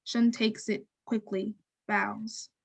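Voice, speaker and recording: A woman narrating stage directions from a script in a measured, neutral, descriptive way. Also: mid-range voice, flat delivery, creaky, slightly rough.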